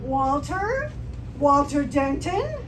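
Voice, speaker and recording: A woman playing a wry, composed schoolteacher in an old-time radio comedy, with projected, deliberate delivery. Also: high voice, sing-song, over music, full character voice. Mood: questioning, wry. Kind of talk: 1940s-style radio comedy acting on stage. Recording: distant, echoey stage recording with noise.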